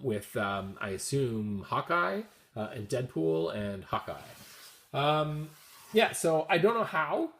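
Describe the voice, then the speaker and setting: A man's voice: mid-range voice, echoey room. A man hosting a casual, rambling comics vlog, chatting and thinking aloud as he addresses his viewers directly. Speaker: host of a comics video channel.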